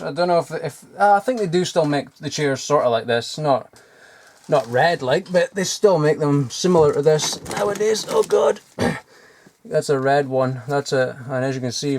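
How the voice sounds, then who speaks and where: A man's voice: a little high, normal speed, a little mellow. Rambling, swearing man. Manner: swears often, filler-heavy and digressive. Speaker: vlogger. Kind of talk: casual rambling show-and-tell. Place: cluttered loft.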